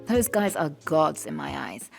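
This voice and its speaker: A woman's voice: low-pitched, animated, normal speed, vocal fry. Woman vlogger with light background music. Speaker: vlogger and animator hosting a Q&A. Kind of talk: casual scripted Q&A to camera.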